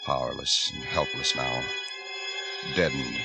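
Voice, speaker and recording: A haunted, doomed husband telling his tale in an extremely low voice. He is brooding and deliberate, halting over his words as dread weighs on him. It is vintage 1940s radio horror, with music loud underneath. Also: steady tone, creaky, full character voice. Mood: numb, foreboding. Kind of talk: 1940s radio drama narration.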